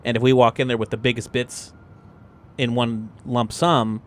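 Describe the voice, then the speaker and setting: A man's voice: mid-range voice, little pitch movement, moderate pace. A man playing a tabletop game, talking casually as he reasons things through and explains his thinking.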